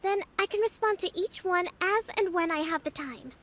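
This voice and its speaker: A gentle young priestess leader. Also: female voice, very high-pitched, normal speed, a little hiss or hum. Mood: calm. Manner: soft, patient, composed. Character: young female island priestess leader, calm and gracious. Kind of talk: video game voice acting.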